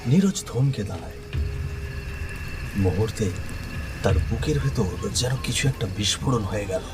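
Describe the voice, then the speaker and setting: Lowish male horror narrator over music. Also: expressive, normal speed. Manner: dramatic, suspenseful. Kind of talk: scripted horror story narration.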